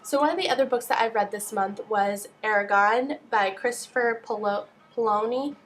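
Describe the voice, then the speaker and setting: A woman's voice: fairly high pitch, normal speed, a little hiss or hum. A young woman with a nasal voice, stuffed up with a cold, chatting casually as she gives book reviews. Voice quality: nasal, congested. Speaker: book vlogger.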